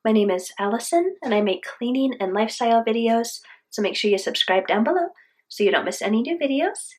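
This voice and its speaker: A woman's voice: on the high side, expressive, brisk. Woman with a stuffy cold voice. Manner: chatty, rambling, frequent restarts. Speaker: cleaning video host. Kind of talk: casual product test vlog. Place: home kitchen.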